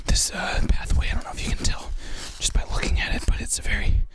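A man whispering a casual, rambling, mock-spooky ASMR narration as he walks outdoors, recorded on a handheld shotgun mic. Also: fast talker. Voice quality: breathy whisper. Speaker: vlogger and ASMR creator. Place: outdoors in the woods, walking. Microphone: handheld shotgun microphone.

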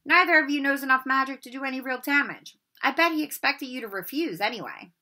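A loyal, hot-tempered schoolboy from a storybook, read out by a woman, speaks bluntly. The voice is bright and forceful and rises in pitch. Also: quick pace. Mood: blunt, indignant.

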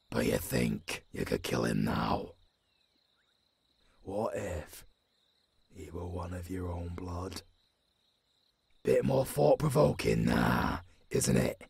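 A man with a British accent voicing a gruff, haunted old werewolf warrior in an audio roleplay, with a husky growl and a brooding delivery that drops toward a whisper. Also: rumbling low, dry recording, full character voice. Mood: gruff, challenging. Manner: slow, brooding, half-whispered with sudden gruff rises. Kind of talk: dramatic ASMR audio roleplay.

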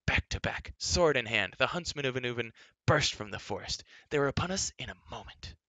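A man voicing a spirited young princess telling things in a lively, dramatic way. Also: mid-range voice, fairly quick, dry recording, pitched above the performer's own voice. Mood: excited.

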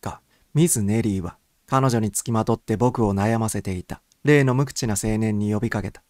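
A man's voice: fairly low pitch, moderate pace, darkish tone, dry recording, clean studio sound. A man reading a first-person story as a scripted audiobook narration.

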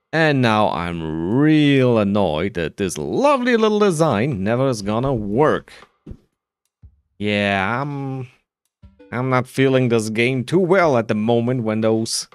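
A man's voice: medium pitch, clear, resonant tone, no echo. A man doing casual playthrough commentary, musing to himself in a dry, self-deprecating way. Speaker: gaming video creator.

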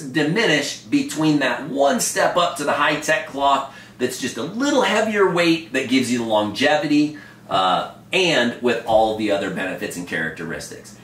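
A man in his forties, a paragliding instructor, explaining to camera in an emphatic, animated way, gesturing as he talks from a home office. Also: fairly high pitch, far from the mic. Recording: echoey room, a bit off-mic.

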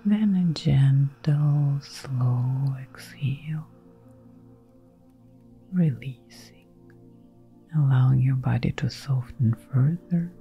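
Slow breathy woman doing guided meditation, kinda creaky, soft and gentle with lots of pauses, music behind. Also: a bass voice. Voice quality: soft, breathy, half-whispered. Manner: gentle, soothing, unhurried instructions with pauses. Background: background music.

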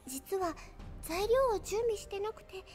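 An actress playing a polite, obsessive teenage little-sister type in an anime drama CD, gentle and chillingly calm in manner. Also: female voice, very high-pitched, measured pace, soft background music. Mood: sweetly menacing.

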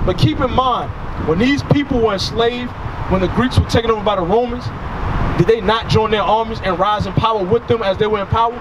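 A man's voice: sits high, brisk. A man in his thirties preaching outdoors by a street into a handheld mic, emphatic and full of rhetorical questions. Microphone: handheld microphone. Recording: outdoor traffic noise. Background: passing cars.

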